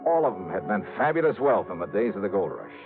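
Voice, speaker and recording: Animated hard-boiled investigator, old radio. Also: male voice, fairly low pitch, quick pace, narrow, tinny audio. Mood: wry narrating. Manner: wry, punchy, colloquial. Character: hard-boiled, wry male insurance investigator. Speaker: lead and narrator. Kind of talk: radio noir narration and dialogue, 1950s-60s acting. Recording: thin vintage radio recording with music.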